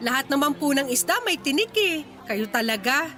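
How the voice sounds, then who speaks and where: A woman's voice: high-pitched, lively intonation, normal speed, bright, forceful tone, soft background music. A woman playing a young, cheeky and persuasive market fish vendor with a Filipino accent in a radio drama. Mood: eager, persuasive. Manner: pleading sales patter with teasing comebacks.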